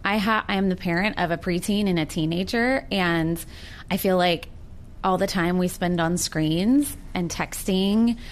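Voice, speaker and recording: A woman's voice: lowish voice, measured pace, a little hiss or hum. An American woman in her forties talking earnestly and reflectively in a sincere personal conversation. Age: 40s. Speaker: participant in a recorded one-on-one conversation.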